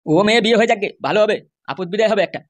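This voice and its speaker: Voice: mid-range voice, very fast, lightly acted. A performer voicing a blunt, dismissive father in a cartoon, scolding his son. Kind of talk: cartoon voice acting.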